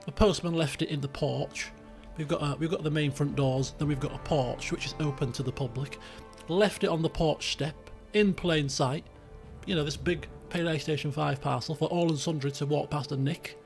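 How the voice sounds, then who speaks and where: A British man hosts a casual game stream in a high voice with a croaky, creaky edge. He rambles along chattily in colloquial language, with faint music behind him. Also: moderate pace. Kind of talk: casual livestream chat.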